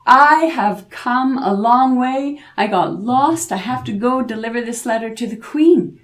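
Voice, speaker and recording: A woman's voice: fairly high pitch, measured pace, lightly acted. A woman voicing a fearless, weary fourteen-year-old boy in a folk tale. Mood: earnest.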